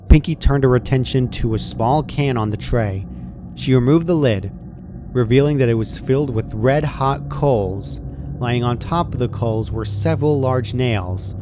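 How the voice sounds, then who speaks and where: A man's voice: mid-range voice, background noise. A man reading fan fiction aloud as a scripted, dramatic story narration. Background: music.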